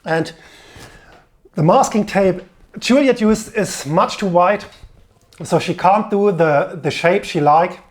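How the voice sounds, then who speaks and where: A man commenting on a bike painting video, explaining tape and materials in an instructive, slightly halting way. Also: high voice.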